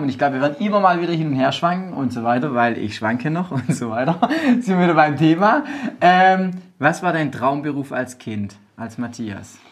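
Host, man, average pitch, loose slangy delivery, laughs easily. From southwest Germany. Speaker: podcast host. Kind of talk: casual chat. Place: house in a village.

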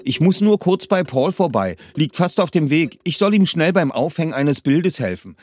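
A man's voice: fairly high pitch, very animated, moderate pace, darkish tone, no room sound, pitched above the performer's own voice. A man voicing a breezy young female surfer who speaks lightly and offhandedly.

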